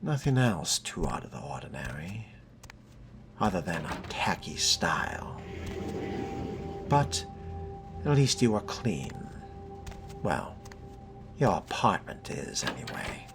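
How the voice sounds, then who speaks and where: A man acts out a haughty vampire fledgling from a role-playing game, musing with dry, pompous disdain. The voice sits very low with a gravelly fry and unhurried delivery, swinging expressively over prominent music. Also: sing-song, full character voice. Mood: sardonic, musing. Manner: pompous, dryly mocking, formal wording. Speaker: player role-playing a video game character. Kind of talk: in-character gameplay monologue.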